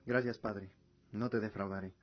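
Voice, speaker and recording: A man's voice: fairly low pitch, fairly even intonation, moderate pace, muffled recording, close to an everyday voice. An actor playing a respectful, earnest young heir and officer, loyal to his father, in a fantasy radio drama. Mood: determined.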